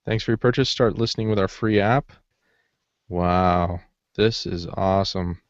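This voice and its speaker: A man's voice: low-pitched, a little slow, no room sound. A man co-hosting a podcast in informal, easygoing, casual conversation. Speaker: podcast co-host. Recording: slightly dull, lo-fi audio.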